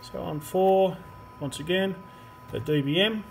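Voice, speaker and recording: A man's voice: high-pitched, unhurried, gravelly. A man giving a hands-on repair demonstration, explaining each step as he works. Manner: explanatory, talking while working. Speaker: radio repair technician presenter. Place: indoor electronics repair workbench. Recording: noisy workshop recording with clicks. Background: workshop noise, clicks, test tones.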